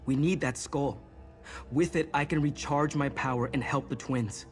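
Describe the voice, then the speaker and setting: An actor playing an earnest, resolute young mage in a video game, explaining things intently. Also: male voice, mid-range voice, fairly even intonation, normal speed, faint music behind, lightly acted. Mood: urgent, resolute. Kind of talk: video game character dialogue.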